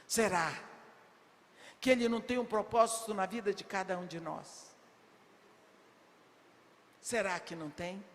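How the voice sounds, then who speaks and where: A woman's voice: mid-range voice, steady tone, unhurried, long pauses. Older woman preaching in a reverberant hall. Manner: emphatic, rhetorical questions, bursts of shouting. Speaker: preacher at women's congress. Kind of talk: sermon. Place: large church hall. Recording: long reverb of a big hall.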